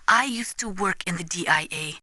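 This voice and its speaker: A woman playing an earnest, resolute Ukrainian weapons analyst in a video game, speaking gravely and deliberately as if lecturing. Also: lowish voice, pushed and bright, no echo, clean studio sound. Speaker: military advisor briefing a soldier. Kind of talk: dramatic video game voice acting.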